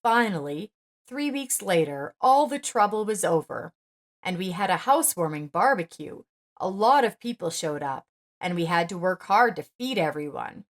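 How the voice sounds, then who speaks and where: A woman with a low-ish, soft voice narrating a scripted relationship story. Her reading is even and measured, carrying the plot steadily from line to line.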